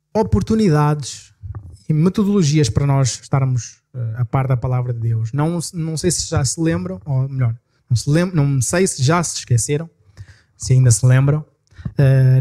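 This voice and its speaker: Portuguese pastor reading scripture emphatically. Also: male voice, a little high, mellow. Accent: European Portuguese. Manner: reads verses slowly with rising emphasis, then talks quickly between passages; self-correcting, apologetic asides.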